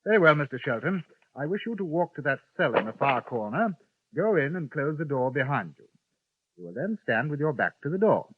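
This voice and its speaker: An actor playing a polite, drily menacing gentleman gunman, who also narrates in 1950s radio-drama style. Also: fairly high pitch, big pitch swings, a little fast. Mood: cool menace. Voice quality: crisp, formal, drily menacing. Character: cool, polite gentleman gunman, middle-aged man. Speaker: narrator and lead. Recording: tinny old radio broadcast, faint hiss.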